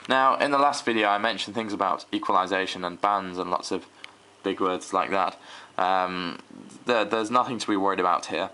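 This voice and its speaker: Low-voiced young man explaining. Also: moderate pace, hardly any pauses, bright, forceful tone. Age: young adult. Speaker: host, hobbyist record restorer. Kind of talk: informal tutorial vlog. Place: small room at home, indoors. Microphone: camera microphone. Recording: faint background noise, home recording.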